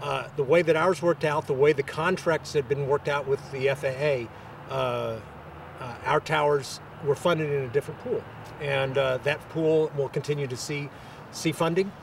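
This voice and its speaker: A man answering press interview questions as a local official, with halting, hesitant phrasing. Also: on the high side, steady tone, creaky, recorded from a distance, slight background noise.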